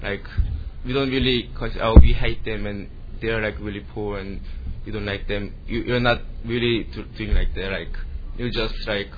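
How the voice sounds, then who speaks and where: A dull, slightly noisy recording of a young man with a Korean accent and a low voice. He speaks informally as a student panelist, rambling and restarting often. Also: steady tone. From South Korea. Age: young adult. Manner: rambling, heavy use of "like", halting. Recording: dull lo-fi room recording, faint noise.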